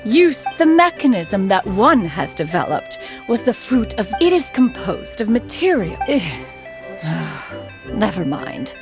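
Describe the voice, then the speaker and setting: A woman's voice: mid-range voice, very animated, slow, music in the background. A woman playing a self-assured young female inventor in a video game, speaking in a formal, archaic way. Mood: dismissive. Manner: formal, archaic, refers to herself as one. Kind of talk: video game character dialogue.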